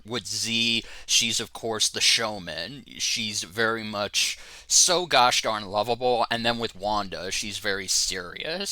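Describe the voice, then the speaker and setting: A man's voice: lowish voice, bright, forceful tone, dry recording. A man co-hosting a casual review chat, talking in an enthusiastic, informal way. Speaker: co-host of a fan review show.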